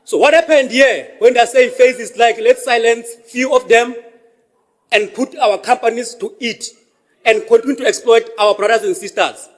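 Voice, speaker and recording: A South African man, a councillor giving a heated, combative speech in a council debate, often shouting. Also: very high-pitched, normal speed. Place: council chamber.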